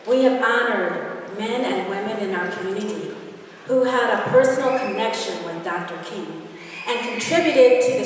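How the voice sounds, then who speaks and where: Woman emcee in a very reverberant hall. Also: mid-range voice. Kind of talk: formal speech at ceremony. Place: large church hall. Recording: echoey hall, distant, crowd noise.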